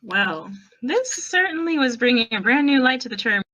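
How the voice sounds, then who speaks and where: A woman's voice: on the high side, sing-song, moderate pace, a bit bright, highs cut off. A woman reading fan fiction aloud as an amateur narrator, in a wry, informal storytelling manner.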